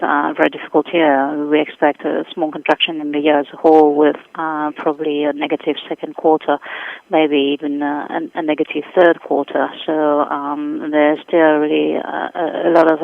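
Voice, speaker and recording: Creaky-voiced woman expert on a dull phone line. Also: sits low, no echo. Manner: hesitant, repeating words as she reasons. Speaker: senior economic advisor, interview guest. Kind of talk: spontaneous expert commentary. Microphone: telephone.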